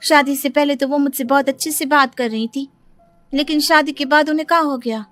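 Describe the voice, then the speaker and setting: A woman plays a young nomad bride in a cartoon. Her voice is high and bright. She is sassy and defiant, stretching out her taunts. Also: fairly even intonation, brisk, no echo, soft background music. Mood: hurt, puzzled. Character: feisty young nomad bride, daughter-in-law. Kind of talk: Hindi cartoon story acting.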